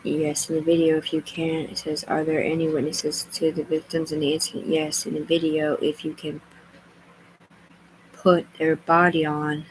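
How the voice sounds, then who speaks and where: A woman's voice: sits low, monotone, normal speed, a little hiss or hum, rough recording. A woman livestreaming, rambling as she reads aloud and makes asides to herself and her viewers. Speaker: live streamer.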